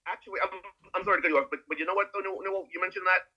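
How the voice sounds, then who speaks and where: A man's voice: high-pitched, steady tone, very fast, highs cut off, crowd noise. A man with a Canadian accent in casual discussion, talking animatedly and repeating words in bursts. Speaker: podcast guest co-commentator.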